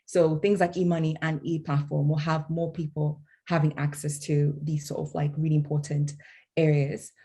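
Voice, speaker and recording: Low mellow young woman, hesitant. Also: flat delivery, normal speed, slightly rough. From Tanzania. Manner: hesitant, many ums. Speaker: young leader panelist. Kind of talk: casual discussion.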